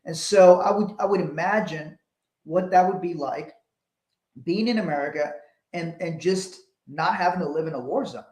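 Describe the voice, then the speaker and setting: A man from Liberia co-hosting a motivational talk, his voice sitting slightly high for a man. He speaks earnestly and often restarts, repeating a word before he goes on. The room gives his voice a noticeable echo. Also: moderate pace, a little mellow. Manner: earnest, repeats words when starting sentences. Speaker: co-host. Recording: echoey room.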